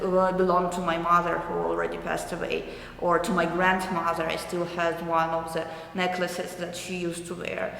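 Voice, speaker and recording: Young ukrainian woman, kinda low voice, lots of uh pauses, big echoey room. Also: gravelly, a bit bright, slight background noise. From eastern Ukraine. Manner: hesitant, many filler pauses. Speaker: artist interviewee. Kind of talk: reflective interview answers. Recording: roomy hall with long echo.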